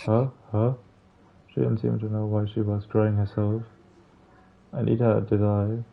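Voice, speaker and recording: A man reading visual novel text aloud as a playthrough host, stumbling over words now and then in a halting way. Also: lowish voice, little pitch movement, vocal fry. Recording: muffled lo-fi mic, music behind. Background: game music.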